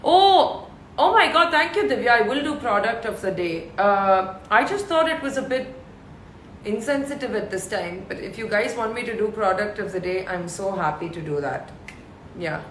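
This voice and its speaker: Woman, thirties, deep voice, casual live-stream chat, reads fan questions, echoey room. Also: lively intonation, moderate pace, a bit bright, slight background noise. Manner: chatty, laughs often, reads out questions. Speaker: live-stream host answering fans.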